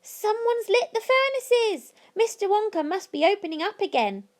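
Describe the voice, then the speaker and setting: Storybook townsperson, done by a woman reader, amazed and gossipy, high excited exclamations. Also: moderate pace. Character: astonished, gossiping crowd of townspeople.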